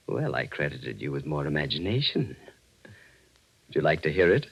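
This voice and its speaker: An actor playing a sinister, obsessed and menacingly polite murderer in a 1940s radio mystery, speaking formally and deliberately. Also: male voice, rumbling low, big pitch swings, creaky, slightly rough, exaggerated voice. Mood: taunting, menacing. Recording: dull old radio recording.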